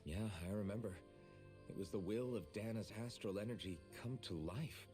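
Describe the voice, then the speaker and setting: An actor playing an earnest, protective young hero in an anime video game, plain-spoken and sincere. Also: male voice, fairly low pitch, moderate pace, dark tone, faint music behind, close to an everyday voice. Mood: puzzled, serious.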